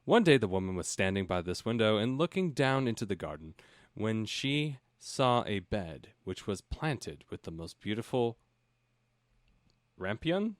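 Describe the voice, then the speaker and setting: Male comedy narrator, animated and bright, storybook reading with joking asides, very dry close sound. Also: lowish voice, a little slow, no echo. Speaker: host and narrator of parody audiobook show.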